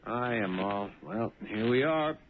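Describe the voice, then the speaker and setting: Man acting anxious, flustered cab driver, swinging pitch. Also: animated, unhurried, a little hiss or hum, lightly acted. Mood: uneasy, puzzled. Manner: hesitant, stammering, nervously flustered. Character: anxious honest working-class cab driver, husband. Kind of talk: 1970s radio drama acting. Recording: muffled band-limited 1970s radio recording.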